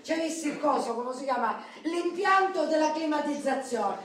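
A woman's voice: sits high, lively intonation, moderate pace. An actress playing a mocking working-class neighbourhood mother in a stage radio drama, with a drawling, theatrical delivery. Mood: gossiping. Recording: very echoey distant stage recording, noisy.

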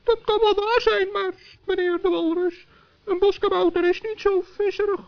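Little storybook forest gnome, extremely high voice, courteous, hesitant, peacemaker. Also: male voice, steady tone, normal speed, vocal fry. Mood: earnest. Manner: polite, hesitant. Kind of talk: children's storybook radio play. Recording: muffled old sixties recording.

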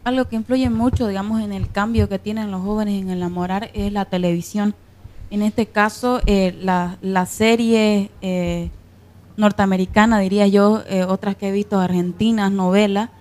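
A woman explaining her opinion in a discussion, in a halting, step-by-step way. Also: mid-range voice, steady tone, a little mellow. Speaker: panel participant.

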